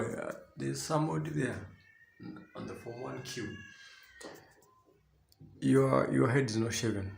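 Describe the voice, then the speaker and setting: Man acting as gravelly, halting deputy principal. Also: lowish voice, echoey room, a little hiss or hum. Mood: admonishing. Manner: halting, hesitant, repeating phrases. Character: male deputy principal, admonishing, fatherly school authority. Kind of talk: radio drama assembly address.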